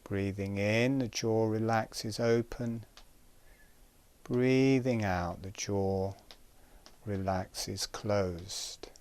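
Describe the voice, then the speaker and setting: A man giving guided breathing instruction in a calm, gentle, measured way, pausing between short phrases. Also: lowish voice, clear, resonant tone.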